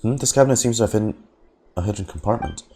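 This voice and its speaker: A man's voice: fairly low pitch, animated, racing, background noise. A man streaming a video game, giving casual play-by-play reactions and reading on-screen text aloud.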